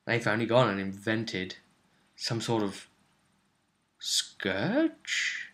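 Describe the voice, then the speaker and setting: A man recapping a tabletop role-playing session as game master in casual, halting storytelling, often repeating phrases as he goes. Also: fairly low pitch, big pitch swings, fairly bright tone.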